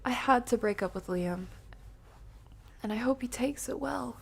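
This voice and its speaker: A woman streaming a game, chatting playfully with viewers and often dropping into hushed whispered asides. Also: on the high side, measured pace, creaky, husky. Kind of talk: casual live-stream chat while playing.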